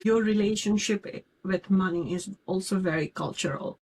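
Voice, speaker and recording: A woman's voice: medium pitch, moderate pace, a little mellow, husky, rough recording. A young adult woman from Nepal co-hosting a casual podcast, talking earnestly and candidly about her worries. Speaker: podcast co-host.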